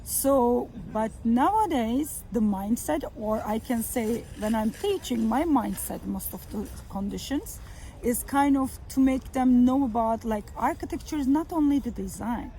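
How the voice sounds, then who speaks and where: A middle-aged woman from Turkey, a professor talking outdoors in a garden, speaking English with many fillers and pauses. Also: on the high side, animated, warm tone, slight background noise, rough recording. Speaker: architecture professor. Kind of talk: outdoor conversation.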